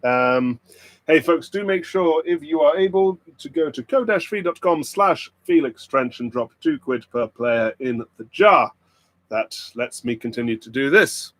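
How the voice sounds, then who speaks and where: A man's voice: fairly high pitch, animated, a little slow, fairly bright tone. A man with a British RP accent hosting a pub quiz livestream, chatting and joking with viewers. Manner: playful, joking banter with the chat. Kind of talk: casual livestream chat with viewers.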